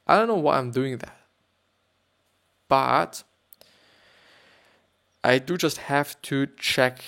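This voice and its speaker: A podcast host from Austria speaks English with a German accent. His voice has a gravelly, creaky edge. He rambles and hesitates, repeating words and filling pauses as he chats. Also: mid-range voice. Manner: hesitant, repetitive, many fillers. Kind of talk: rambling casual monologue and reading aloud.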